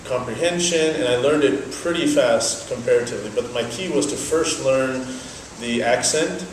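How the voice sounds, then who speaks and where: A man's voice: mid-range voice, a little hiss or hum. Man with native Dutch, very echoey and distant. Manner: measured, explanatory, thoughtful pauses. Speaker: interviewee, business owner. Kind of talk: casual interview answers. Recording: very echoey, from a distance, faint ambience.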